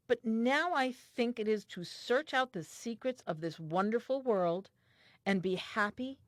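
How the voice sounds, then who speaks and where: Woman as dreamy first woman, curious, earnest, very animated intonation, theatrical audio play monologue, dry close recording. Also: mid-range voice, no echo, lightly acted. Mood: earnest wonder.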